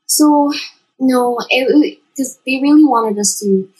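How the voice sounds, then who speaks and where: A young woman from the Philippines by way of Dubai, a podcast guest chatting casually and haltingly, with many restarts. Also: sits high, a little mellow, husky, low-quality recording. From Dubai-born Filipino. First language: Tagalog. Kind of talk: casual interview chat.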